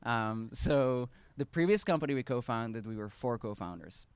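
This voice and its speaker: A man with an Israeli accent, a startup founder speaking at a live event, earnest and measured with pauses and restarts. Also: medium pitch, animated, clear, resonant tone, no room sound.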